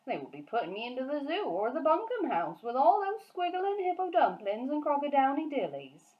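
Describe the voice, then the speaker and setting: A woman voicing a big friendly giant from a storybook, excitable and kindly, speaking emphatically in garbled grammar and nonsense words. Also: sits high, brisk, highs cut off, pitched above the performer's own voice. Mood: agitated, warning.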